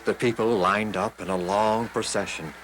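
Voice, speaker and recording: A man narrating scripted Bible stories for children in an expressive, deliberate storytelling manner. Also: lowish voice, low-quality recording. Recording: music behind the reading. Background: background music.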